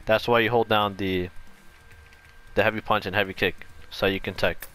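A man's voice: lowish voice, steady tone, normal speed, fairly bright tone, faint music behind. A man giving a casual, unscripted gameplay explanation, rambling and correcting himself as he goes. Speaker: video game tutorial creator.

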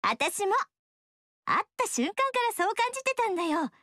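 A woman's voice: very high voice, sing-song, normal speed, pushed and bright, dry recording, exaggerated voice. An actress voicing a cheerful young female ninja with a protective, motherly streak, sounding bubbly, sweet and eager. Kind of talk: studio game dialogue. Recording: dry studio recording.

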